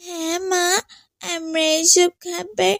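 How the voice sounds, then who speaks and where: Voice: very high-pitched, little pitch movement, slow pace, vocal fry, a bit bright, dry recording, studio quality, exaggerated voice. A performer voicing a poor, meek little village girl in a cartoon, with a plaintive, pleading, childlike way of talking. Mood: wistful. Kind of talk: Islamic cartoon dialogue.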